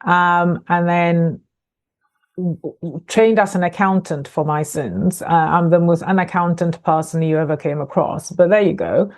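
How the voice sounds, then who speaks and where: Low-voiced woman, chatty guest from Ghana. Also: steady tone, measured pace, darkish tone. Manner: chatty, laughs easily, many fillers and restarts. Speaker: guest, author and coach. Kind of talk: conversational podcast interview.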